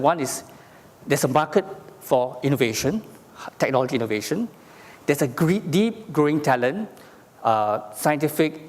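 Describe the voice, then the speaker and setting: A man's voice: medium pitch, a little mellow, long reverb tail. A man from Singapore giving a conference talk, explaining with frequent fillers and asking "all right?" after his points. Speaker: conference speaker. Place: conference stage in a large hall.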